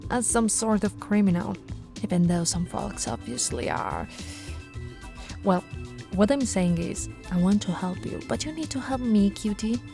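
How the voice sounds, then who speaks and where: A woman voicing a patient, teasing female police officer in an ASMR audio roleplay, speaking softly and coaxingly to the listener, recorded close to the mic. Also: mid-range voice, natural voice. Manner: soft-spoken, coaxing, playfully flirtatious. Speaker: ASMR voice actress.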